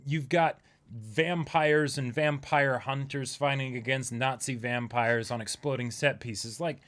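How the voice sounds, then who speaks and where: A man co-hosting a podcast in casual chat, talking with excitement and rambling, full of "like" fillers. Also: medium pitch, a little slow. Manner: enthusiastic, rambling, many "like" fillers. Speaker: podcast co-host.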